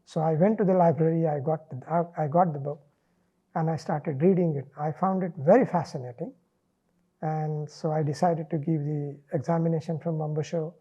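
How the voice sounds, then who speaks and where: A middle-aged man from India, a native Marathi speaker with an Indian English accent, talking in an interview in a measured, thoughtful way with many pauses. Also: fairly high pitch, steady tone, breathy edge, lo-fi sound. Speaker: interviewee, linguist. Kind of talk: relaxed reflective interview.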